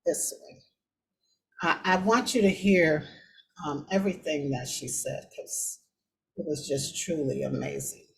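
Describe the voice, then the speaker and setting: A woman hosting a talk show with casual commentary, often halting as she thinks aloud. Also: low voice, rough recording. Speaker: show host. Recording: clear background noise.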